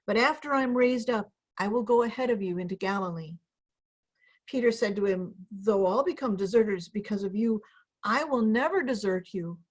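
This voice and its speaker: A woman's voice: medium pitch, normal speed, poor audio. A woman pastor giving a dramatic scripture reading over a video call. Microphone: video call microphone.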